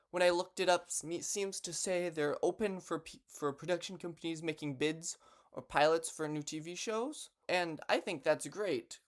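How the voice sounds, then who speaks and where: A young man talking casually and unscripted to camera as a vlogger, with a hesitant delivery full of false starts. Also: a little high. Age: young adult. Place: bedroom in a home.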